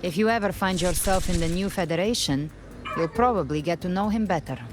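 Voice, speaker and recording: Low-voiced, calm young adventurer woman played by an actress. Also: fairly quick, over music, close to an everyday voice. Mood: matter-of-fact. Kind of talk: video game voice acting.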